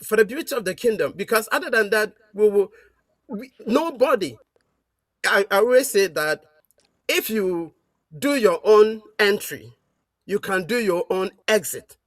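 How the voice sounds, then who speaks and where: Male caller, high voice, dry sound, preachy and halting. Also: animated, fairly bright tone, dry recording. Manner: halting, with many fillers and restarts. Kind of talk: preaching-style call-in remarks.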